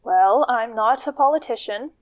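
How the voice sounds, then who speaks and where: A fairly high female voice on a slightly muffled recording. A woman acts out an ambitious, outspoken activist leader in a radio play, speaking in a natural, everyday manner. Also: normal speed, lightly acted. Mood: firm, guarded.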